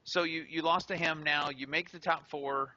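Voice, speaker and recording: Male show host, casual and upbeat, a slightly high and very bright voice, lo-fi sound. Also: fairly even intonation, moderate pace, no room sound. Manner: upbeat and joking, with many fillers. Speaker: show host interviewing a tournament winner. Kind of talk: casual interview. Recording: lo-fi recording.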